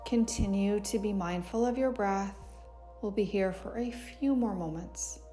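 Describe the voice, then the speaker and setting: Slow, mellow woman guiding meditation. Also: medium pitch, soft background music. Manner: soothing, gentle, unhurried instruction. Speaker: yoga teacher. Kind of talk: guided meditation narration.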